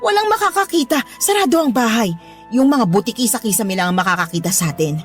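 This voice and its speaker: A woman's voice: a little high, animated, fast talker, natural voice. Woman acting a lonely, seductive widow, swooping voice. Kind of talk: Tagalog radio drama acting. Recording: background music under the voice.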